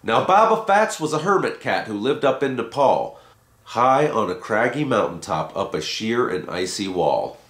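A man's voice: mid-range voice, sing-song, moderate pace, a bit bright. A man giving a dramatic recitation of a rhyming story poem as its reader. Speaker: poetry reader. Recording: roomy, echoing home recording.

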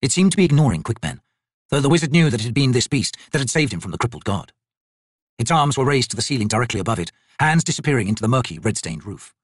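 A man's voice: mid-range voice, big pitch swings, fast, breathy edge, dry recording. A man reading dramatic fantasy narration as an audiobook narrator.

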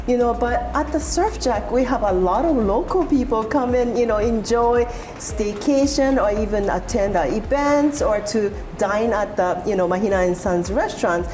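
A middle-aged woman from Japan, a hotel executive, answering interview questions in a steady, measured way. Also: medium pitch. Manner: steady, measured, frequent "you know". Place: hotel lounge indoors.

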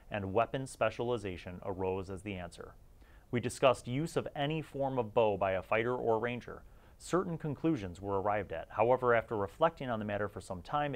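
A man hosting a retro tabletop gaming review, mixing read-aloud excerpts with informal, chatty commentary. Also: on the low side. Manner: informal, slangy, chatty asides.

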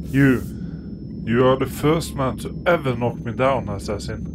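A man's voice: medium pitch, measured pace, natural voice. A man playing a big, proud, belligerent Viking in a sci-fi audio drama, blunt and blustering. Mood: indignant. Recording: noisy spaceship ambience behind voice.

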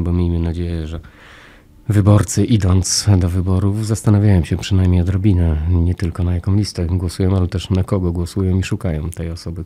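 A middle-aged man hosting a radio interview in a small studio, speaking close into a desk microphone. His voice sits very low and sounds dark and mellow. He asks long, winding questions and builds them out of everyday comparisons. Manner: long, discursive questions with analogies. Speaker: radio host. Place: small radio studio with orange acoustic panels. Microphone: studio mic on a desk arm, wearing headphones.

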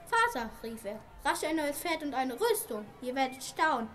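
A woman plays a wealthy merchant father in an amateur radio play. The voice is very high, proud and emphatic, declaiming like someone deeply aggrieved. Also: big pitch swings, moderate pace, a bit bright. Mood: reminiscing.

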